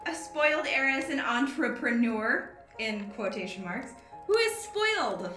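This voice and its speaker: High, bright-voiced woman improviser. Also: big pitch swings, measured pace, echoey room, soft background music. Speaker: improv actor. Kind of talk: casual cast introduction.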